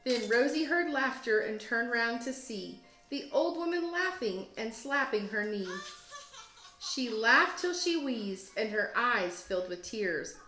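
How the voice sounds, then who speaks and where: A woman with a slightly high voice reads a children's story aloud like a teacher. Her phrasing is expressive and deliberate. The room is clearly echoey, with music playing behind her. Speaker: teacher, guest read-aloud host. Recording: roomy echo, music behind. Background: storybook app music.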